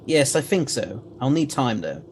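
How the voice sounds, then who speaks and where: An actor playing a clever, assured scientist adventurer in an audio drama, explaining briskly with old-fashioned turns of phrase. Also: male voice, mid-range voice, big pitch swings, slight background noise, natural voice. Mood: curious, assured.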